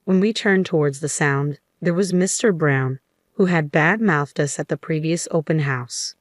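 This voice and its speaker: A woman reads a scripted first-person story as its narrator. Her voice is notably low, and the recording is close and dry, with no room sound. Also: normal speed, no echo.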